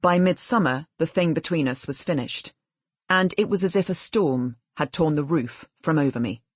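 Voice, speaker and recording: A woman reading literary fiction as an audiobook narrator in a measured, expressive way. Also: deep voice, breathy edge, no room sound, clean studio sound.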